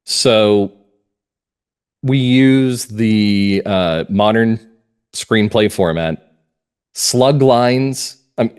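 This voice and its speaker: A man speaking as a writer being interviewed, reflective and earnest, setting out his views in deliberate, emphatic phrases. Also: on the low side, a little mellow, clear, resonant tone. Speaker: playwright and audio drama writer, interview guest.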